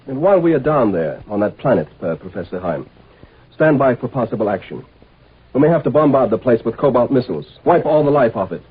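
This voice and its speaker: An actor playing a curt, commanding galactic marshal in a 1950s radio drama, impatient and authoritative. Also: male voice, mid-range voice, big pitch swings, brisk, slightly rough. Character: brusque, commanding male galactic military marshal. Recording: noisy, tinny 1950s radio recording.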